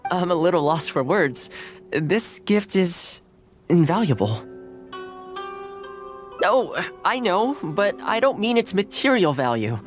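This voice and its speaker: An actor voicing a courteous, easygoing young male retainer in game dialogue, polite and gently humorous. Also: sits high, sing-song, slow, long pauses, soft background music, heavily acted. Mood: heartfelt.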